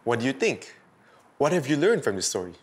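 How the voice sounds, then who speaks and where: A man hosting and narrating a scripted bedtime story for children in a deliberate, expressive storytelling style. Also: medium pitch. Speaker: storyteller host.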